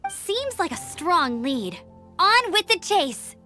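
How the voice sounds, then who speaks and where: A woman playing an earnest, energetic young scout knight in a video game, brisk and confident. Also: very high voice, full character voice. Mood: determined. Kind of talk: animated video game dialogue. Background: game music.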